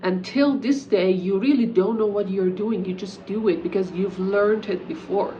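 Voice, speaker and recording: A woman's voice: mid-range voice, normal speed, warm tone. A young woman, Persian her first language, talks through an English lesson in an earnest, encouraging way, dropping in casual fillers as she goes. Her voice sits mid-range for a woman. The audio is a bit dull with a faint hiss under it. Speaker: English teacher. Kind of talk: talking-head English lesson.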